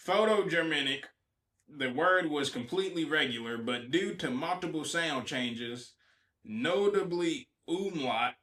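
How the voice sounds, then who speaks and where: A man's voice: fairly high pitch, lively intonation, measured pace. A man joking and teasing as a podcast co-host in casual chat. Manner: joking, teasing, loud bursts. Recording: echoey room.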